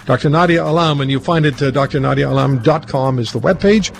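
A man's voice: medium pitch, fast, creaky, over music. A man hosting a radio talk show, interviewing a guest conversationally with short affirming responses. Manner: conversational, affirming with brief acknowledgments.

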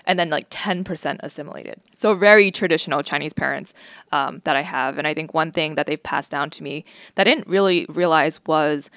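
A podcast host talks through her thoughts in a relaxed, reflective way, with frequent "like" and "um." Her voice sits a bit low for a woman. The recording is close and dry, with no room sound. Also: fairly quick, dry recording. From United States. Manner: reflective, conversational, leans on "like" and "um". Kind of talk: casual podcast chat.

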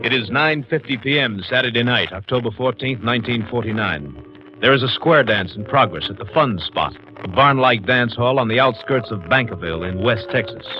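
An American man narrating a 1950s radio drama from a script in a measured, factual manner. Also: mid-range voice. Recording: muffled old radio broadcast with music.

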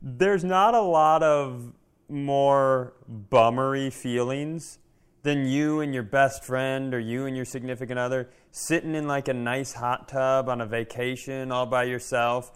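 A man's voice: medium pitch, smooth. A man co-hosting a comedy podcast, riffing casually with some swearing and little acted-out exchanges.

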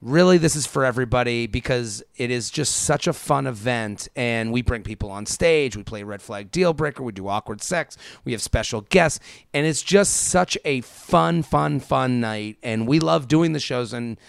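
Male podcast co-host, creaky yet crisp voice, loose conversational delivery. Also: mid-range voice, normal speed, dry recording. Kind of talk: casual chat.

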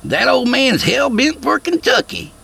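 A man's voice: extremely high pitch, very animated, measured pace, gravelly, sharp tone, breathy edge, pitched above the performer's own voice, exaggerated voice. A man voicing a rowdy, crude male country buddy who hollers his lines. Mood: hollering. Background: music.

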